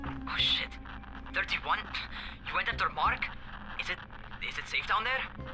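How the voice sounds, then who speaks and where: Wary survivor, slightly high pitch, giving orders, game drama, music behind. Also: male voice, animated, measured pace, poor audio. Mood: worried. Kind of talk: video game voice acting.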